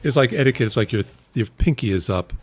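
A man's voice: medium pitch, quick pace, breathy edge. A middle-aged man co-hosting a casual chat with his wife, rambling and restarting his sentences. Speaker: podcast co-host. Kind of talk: casual married-couple chat.

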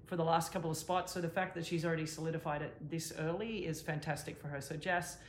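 A woman's voice: low-pitched, steady tone, quick pace, roomy sound. A woman with an Australian accent co-hosting a casual tennis podcast, easygoing and agreeable. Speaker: podcast co-host, former tennis pro. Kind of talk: casual chat.

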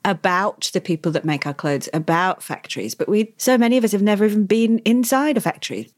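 A woman's voice: mid-range voice, brisk, dry recording. A woman hosting an interview, asking engaged, probing questions. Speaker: interview host.

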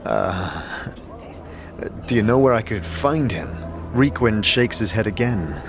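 A man narrating a scripted fantasy audio drama in a measured storytelling manner, also speaking as its protagonist. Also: fairly low pitch, animated. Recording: music under the voice.

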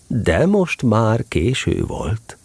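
A man narrating a children's radio play in an expressive, playful tone. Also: lowish voice, vocal fry, a little hiss or hum.

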